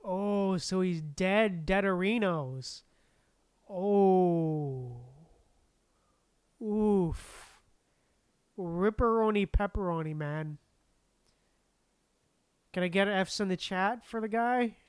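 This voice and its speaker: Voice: medium pitch, drawn-out words, long pauses, clear, resonant tone, dry recording, clean studio sound. A game streamer talking in slangy, casual reactions, muttering and reading on-screen text aloud.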